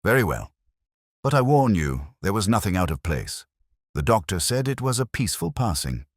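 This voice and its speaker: A man voicing an uneasy, formal Victorian gentleman in a detective story, guarded in manner. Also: lowish voice, very animated, normal speed, dry recording, clean studio sound, pitched above the performer's own voice. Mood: uneasy, defensive.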